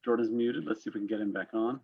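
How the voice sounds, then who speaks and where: A man's voice: fairly low pitch, fairly even intonation, fast talker, creaky, breathy edge. A man hosting an online panel discussion in semi-formal talk, over a video call. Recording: thin phone-like video call audio.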